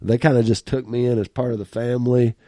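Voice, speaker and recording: A man's voice: mid-range voice, little pitch movement, quick pace, mellow, no echo. A man hosting a podcast in a studio, chatting in a folksy, talkative way with a stuffy nose and frequent laughs. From rural small-town America. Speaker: podcast host. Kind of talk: casual interview chat.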